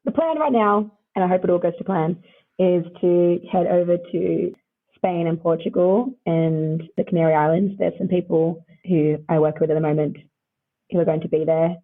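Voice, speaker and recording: A woman with a croaky voice, chatting upbeat as a podcast guest and laughing often between answers. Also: lowish voice, normal speed. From Australia. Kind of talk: casual interview chat. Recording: tinny phone-like line.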